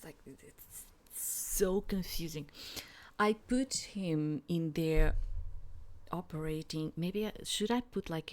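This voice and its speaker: A woman giving casual, rambling gameplay commentary, thinking aloud with hesitant pauses and lots of filler words. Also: deep voice, darkish tone. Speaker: game streamer, let's player.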